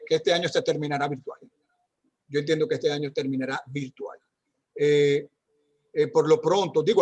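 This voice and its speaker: A man's voice: a little high, husky. A man, a doctor, answering parents' questions in an online talk, speaking conversationally with many fillers and tag questions. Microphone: video call.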